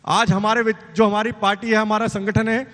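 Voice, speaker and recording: Emphatic high-voiced man giving a political speech. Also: little pitch movement, normal speed, fairly bright tone. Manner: rhetorical and emphatic, addressing his opponent directly. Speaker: party youth politician on a debate panel. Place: large conference hall on stage. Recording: long hall reverb.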